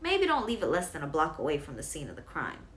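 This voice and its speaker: A woman's voice: sits low, huge pitch swings, quick pace, a bit bright, reverberant, heavily acted. A teasing older brother from a children's story, played by a woman, joking in manner. Faint noise runs behind the voice.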